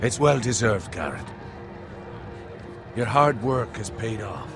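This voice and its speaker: An actor playing a proud, middle-aged feudal lord in a fantasy game, speaking in a measured, formal and fatherly way. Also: on the low side, animated, music in the background. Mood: grave, warm approval. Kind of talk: dramatic video game acting.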